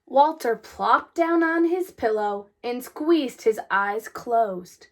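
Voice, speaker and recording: A woman reading a children's story aloud with deliberate, expressive phrasing. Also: fairly high pitch. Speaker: storybook reader. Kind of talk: children's read-aloud, scripted narration.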